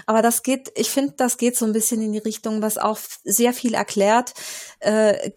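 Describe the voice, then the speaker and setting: A woman's voice: a little high, flat delivery, moderate pace, darkish tone. A woman in a casual panel discussion, speaking earnestly with some fillers.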